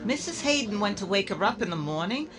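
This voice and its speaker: A woman's voice: mid-range voice, big pitch swings, quick pace, natural voice. A woman playing an effusive, chatty funeral guest in radio drama. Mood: gossipy. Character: gushing, sympathetic gossiping funeral guest. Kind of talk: live radio horror drama acting. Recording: crowd murmur behind the voice. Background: murmuring crowd.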